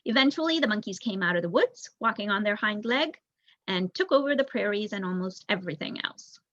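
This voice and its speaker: A young woman voicing a thoughtful, bookish male rat elder telling a storybook history in a measured, reflective way. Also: medium pitch, animated, fairly bright tone, dry recording, close to an everyday voice. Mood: pondering. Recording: dull lo-fi recording.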